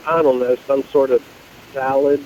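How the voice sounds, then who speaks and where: A man's voice: mid-range voice, little pitch movement, normal speed, crowd noise. A man on a casual phone call, telling his story in a dry, exasperated way. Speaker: phone-in guest. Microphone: telephone.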